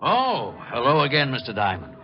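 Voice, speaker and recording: A man's voice: medium pitch, wildly swooping pitch, moderate pace. Hesitant, polite store man, muffled. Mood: chatty, surprised. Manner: hesitant, deferential. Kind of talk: 1940s radio acting. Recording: muffled, noisy old radio recording.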